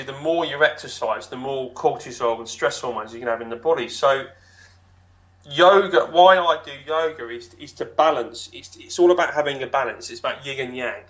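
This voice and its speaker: A man with a deep voice, answering as a guest in a relaxed interview. He rambles a little, with plenty of ums and restarts, and his pitch sits in a middling range. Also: dull audio. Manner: rambling, many fillers and restarts. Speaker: podcast guest. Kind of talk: casual interview chat.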